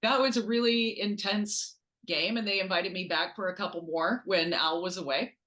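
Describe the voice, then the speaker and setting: A woman chatting casually about sports as a podcast guest, excitable and joking as she tells stories. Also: medium pitch, moderate pace. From Canada. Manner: excitable, animated storytelling, joking.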